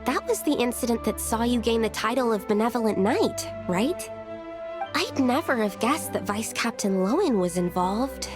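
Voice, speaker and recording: A woman's voice: a little high, lively intonation, moderate pace, lightly acted. An actress voicing an earnest, concerned young woman, a comrade in a fantasy video game. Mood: thoughtful. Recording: game music behind the voice.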